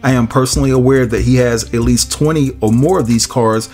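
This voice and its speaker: A man's voice: medium pitch, fairly even intonation, fairly quick, a little mellow, faint music behind. A man hosting a commentary channel, nasal from a head cold, reading a court filing aloud and then giving his casual opinion to viewers. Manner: casual, addresses viewers as y'all and guys.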